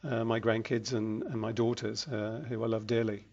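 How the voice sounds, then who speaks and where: Older British businessman interviewee, measured and unhurried, slightly muffled recording. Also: on the low side, fairly even intonation, creaky, darkish tone. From United Kingdom. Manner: measured, frequent ums and self-restarts. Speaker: interviewee, regional executive. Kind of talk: casual interview.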